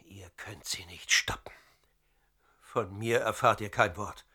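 A precise academy psychologist in his fifties in a radio drama. His voice sits fairly low. He gives his account in measured, formal, explanatory sentences. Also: pushed and bright, slightly rough, heavily acted. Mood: defiant. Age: 50s. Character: Dutch academy psychologist under interrogation, precise and defiant.

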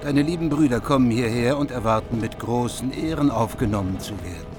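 A man's voice: mid-range voice, brisk, lightly acted. An actor playing a medieval king who greets his guests formally in a radio drama. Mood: stately. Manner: stately, formal. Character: hospitable, wary medieval king. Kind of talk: German radio play acting. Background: music.

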